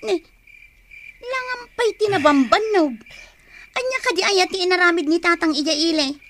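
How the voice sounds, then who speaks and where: A woman's voice: very high voice, moderate pace, fairly bright tone, a little hiss or hum. A woman acting as a fussing, protective wife in an Ilocano radio drama, scolding and exclaiming, with a northern Philippine Ilocano accent. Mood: exasperated. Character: Waldo's fussing, protective wife, exasperated but caring.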